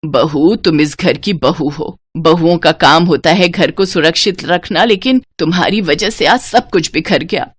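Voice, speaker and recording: A woman puts on an older mother-in-law from a moral tale. She speaks in a measured, admonishing way, a little lower, in a dry recording. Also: slightly rough, no echo. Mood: reproachful. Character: older mother-in-law, protective of son, gently reproachful.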